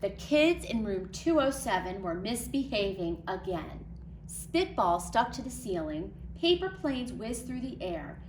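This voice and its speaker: A woman reads a picture book aloud like a nursery teacher. Her delivery is expressive, with very varied intonation at a middling pitch. The recording is roomy, with faint noise behind her. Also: measured pace. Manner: expressive, dramatic storytelling. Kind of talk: children's storybook read-aloud.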